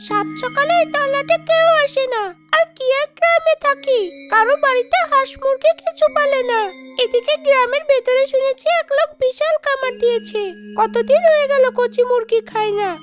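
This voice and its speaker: A woman voicing a sly, bossy, hungry female ghost in an animated storybook tale. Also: very high-pitched, moderate pace, over loud music, voice pushed up. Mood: scheming, hungry. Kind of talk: animated Bengali storybook acting.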